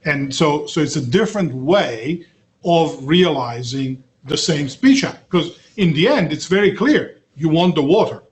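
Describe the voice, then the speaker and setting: A man's voice: a little high, animated, measured pace. A man from Belgium who grew up in Italy, giving a university lecture in a conversational, hesitant way with frequent fillers. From Belgium, raised in Italy. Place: classroom.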